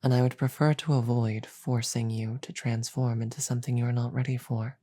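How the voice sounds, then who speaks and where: A woman playing a regal, measured demon queen in an audio roleplay, grave and wryly amused, pausing deliberately and often slipping toward a whisper. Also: a bass voice, flat delivery, warm tone. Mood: grave, resolved. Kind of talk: scripted audio roleplay, slow dramatic delivery.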